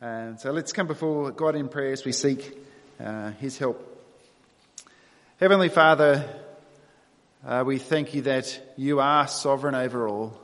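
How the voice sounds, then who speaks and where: A man's voice: mid-range voice, a little slow, long pauses, a bit bright. A man with an Australian accent preaching a sermon to his congregation in a conversational, self-deprecating way. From New South Wales, Australia. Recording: long reverberant hall sound.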